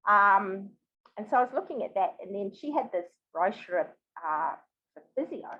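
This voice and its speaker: A woman's voice: medium pitch, sing-song, normal speed, breathy edge, muffled recording. A woman in her fifties with a New Zealand accent, chatting expressively and telling stories casually as an interview guest. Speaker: podcast guest.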